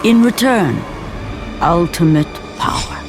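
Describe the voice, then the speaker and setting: A performer as the solemn narrator of a Greek myth in a video game, measured and portentous. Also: medium pitch, expressive. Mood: grave, portentous. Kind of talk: dramatic scripted game narration. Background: loud dramatic music.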